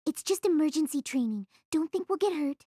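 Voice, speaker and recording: A woman's voice: sits high, lively intonation, brisk, dark tone, dry recording, clean studio sound, voice pushed up. A woman voicing a chatty, carefree teenage schoolgirl with a teasing, breezy manner.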